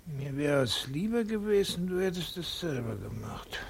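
An actor playing a cold, health-obsessed husband in a 1950s German horror radio play, speaking with husky, fretful deliberation. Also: male voice, a little high, big pitch swings, moderate pace, a little hiss or hum. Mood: fretful, reproachful. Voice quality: husky, creaky.

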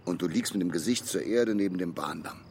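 An actor playing a middle-aged barge skipper with a shady past, gruff but kindly, speaking haltingly and colloquially in a radio drama. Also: male voice, low-pitched, a little mellow, slight background noise, lightly acted. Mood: brooding, wistful. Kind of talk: German audio drama acting, storytelling.

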